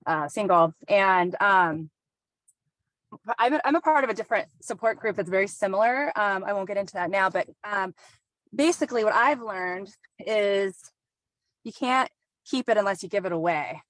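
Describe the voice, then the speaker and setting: A woman's voice: medium pitch, lively intonation, normal speed, a bit bright, dry recording, rough recording. A woman sharing earnestly with many fillers from a car on a group video call. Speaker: group participant, single mother.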